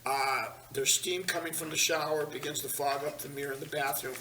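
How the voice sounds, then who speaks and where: A man's voice: a little high, steady tone, fairly quick, pushed and bright. A man narrating scripted stage directions at a table read in a large meeting room. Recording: echoey room, distant mic, hiss.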